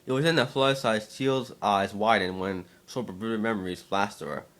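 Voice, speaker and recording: A man with a fairly low voice serves as narrator. He gives a dramatic reading of fan fiction in a slightly halting delivery. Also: a bit bright.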